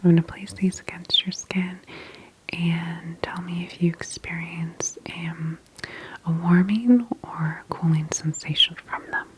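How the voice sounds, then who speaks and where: A woman whispering softly and gently in an ASMR roleplay as a calm, attentive doctor examining a patient, unhurried and reassuring. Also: on the low side, slight background noise, poor audio. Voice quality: soft, breathy whisper.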